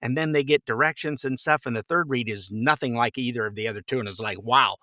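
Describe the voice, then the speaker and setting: A man's voice: medium pitch, fast talker, sharp tone, no room sound, studio quality. An older American man from Idaho chatting casually as a podcast guest, with a folksy manner. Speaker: podcast guest, voice actor and producer. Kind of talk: casual chat.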